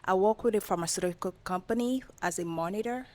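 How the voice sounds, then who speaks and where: A woman's voice: mid-range voice, fast talker, a little mellow. A woman in her thirties on a dating show, unsure and hesitant as she answers. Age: 30s. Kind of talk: casual chat.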